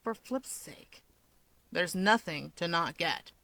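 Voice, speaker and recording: Lady doing a grumpy sarcastic young guy for an audio story, deadpan and guarded, dry recording. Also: fairly low pitch, takes it slowly, gravelly, dry recording, full character voice. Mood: irritated. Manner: deadpan, snarky. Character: sullen, sarcastic young man, guarded and irritable.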